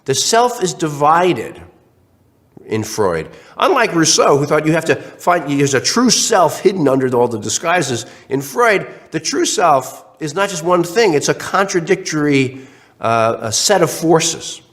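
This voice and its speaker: A man's voice: a little high, measured pace. A man lecturing online, slightly congested from a cold, with many restarts and fillers. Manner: animated, acts out questions in other voices.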